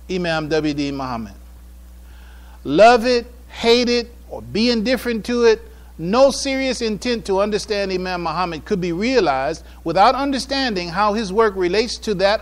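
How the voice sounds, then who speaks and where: An American man delivering a prepared public address on religion and history from notes, with formal, deliberate, emphatic phrasing. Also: high voice. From United States. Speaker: speaker giving a public address.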